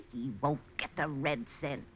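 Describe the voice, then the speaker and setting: An actress playing a stubborn, bossy old great-aunt of about eighty in a 1940s radio drama. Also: female voice, low voice, expressive, slow pace. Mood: indignant, defiant. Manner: crotchety, bossy, emphatic. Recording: dull, noisy old radio recording.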